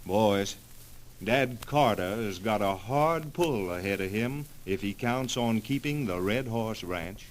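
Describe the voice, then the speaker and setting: An actor playing a loyal, plain-spoken cowboy ranch hand in a radio western, folksy and respectful. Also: male voice, on the low side, lively intonation, takes it slowly. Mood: earnest. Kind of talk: old-time radio western acting. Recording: faintly noisy old radio recording.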